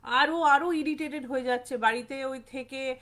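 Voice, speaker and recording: A woman's voice: sits high, fairly even intonation, moderate pace, a bit bright. A woman telling a ghost story informally, conversationally repeating phrases for emphasis and lightly acting out dialogue.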